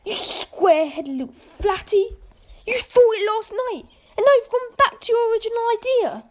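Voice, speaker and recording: A cool, mocking adventurer in an amateur radio play acted by a woman. The voice is high, and the delivery is sardonic and clipped, with dry retorts. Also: expressive, moderate pace. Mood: indignant. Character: cynical, cool, mocking male adventurer with a revolver.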